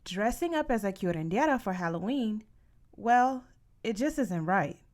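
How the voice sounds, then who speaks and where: A woman's voice: mid-range voice, lively intonation, normal speed, lightly acted. Woman playing a patient, practical mother. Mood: explaining. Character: practical, explaining mother of a young girl.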